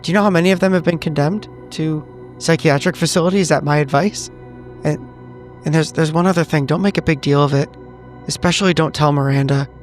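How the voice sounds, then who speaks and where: A man's voice: fairly high pitch, steady tone, brisk, faint music behind, lightly acted. A man voicing a shaken, evasive veteran agent in a tabletop roleplay, stammering and repeating himself. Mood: troubled, defensive. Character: shaken, evasive male veteran agent, smoking, guiltily confessing. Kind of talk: improvised in-character roleplay.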